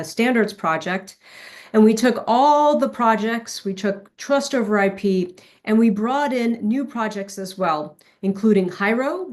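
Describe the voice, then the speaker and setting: A woman with a European Portuguese accent delivering a keynote over a video call. Her pitch sits at a middle level and she speaks fluently. She moves easily from one point to the next. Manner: warm, fluent, switches between Portuguese and English. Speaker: keynote speaker, foundation executive. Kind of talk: online conference presentation.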